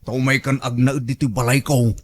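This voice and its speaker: A man's voice: medium pitch, flat delivery, normal speed, natural voice. A man with an Ilocano accent playing a bossy, demanding elderly man in a radio drama, blunt and nagging. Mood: grumbling.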